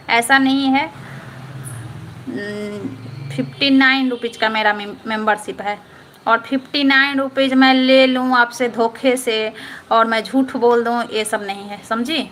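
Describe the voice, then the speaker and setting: A woman's voice: high-pitched, a bit bright, a little hiss or hum. A woman from Patna, chatting casually with viewers on a live stream, repeating herself and checking that they understood. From Patna, Bihar. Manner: chatty, repetitive, keeps asking "understood?". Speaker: live-stream host answering viewer comments.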